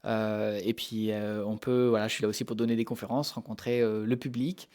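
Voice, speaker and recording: A man's voice: on the low side, fairly even intonation, a little fast, a little mellow. A man from Normandy answering interview questions as a researcher, informally and with hesitant, repeated words as he explains. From Normandy, France. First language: French. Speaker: interview guest, animal behaviour researcher.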